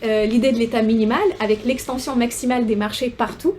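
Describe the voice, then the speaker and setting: Higher-voiced woman researcher giving a seminar. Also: steady tone, darkish tone, a little hiss or hum. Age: young adult. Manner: measured, often pausing on "hein" and "euh" and repeating words. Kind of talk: academic seminar talk.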